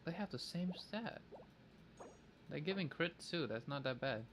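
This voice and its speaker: A man's voice: a little high, expressive, measured pace. A man doing casual livestream gameplay chat, thinking aloud with playful, childish wording. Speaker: game streamer. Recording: faint background noise.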